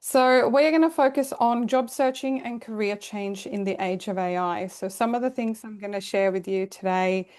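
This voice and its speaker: A Swedish-born woman coaching a live online workshop, her pitch sitting in the middle of the range. She talks in a chatty, welcoming way and laughs lightly between points. She comes through a video call. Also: moderate pace, few pauses, a little mellow. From Sweden. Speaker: career coach hosting a webinar.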